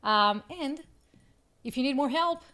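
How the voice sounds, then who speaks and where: A woman's voice: high voice, expressive, unhurried, bright, forceful tone. A woman with an Israeli accent co-hosting a casual live stream, upbeat and laughing often between her words, on a video call mic.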